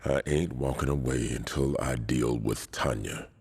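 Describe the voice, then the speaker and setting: A man acting a hardened, proud and vengeful gang boss in a video game, with a gruff, husky rasp. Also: fairly even intonation, measured pace, darkish tone, slight background noise. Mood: grim, defiant. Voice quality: deep gravelly husky rasp.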